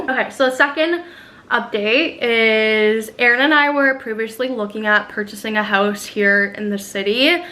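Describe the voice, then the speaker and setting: Young adult woman, lifestyle vlogger; upbeat, chatty, casual to-camera delivery, slightly high voice. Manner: chatty, upbeat, talks to camera with soft fillers. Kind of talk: casual vlog chat while doing makeup. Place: at home in front of a mirror.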